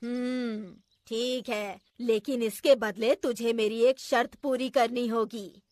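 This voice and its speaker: A woman's voice: on the high side, fairly even intonation, slow pace, darkish tone, dry recording. A woman voicing a wicked, scheming forest witch with a taunting manner in a children's cartoon story. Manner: taunting, cackling.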